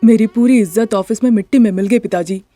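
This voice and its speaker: A woman's voice: fairly high pitch, fast talker, dark tone, lightly acted. A woman voicing an embarrassed, worried adult son in a story, aggrieved and earnest, with music behind. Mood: upset.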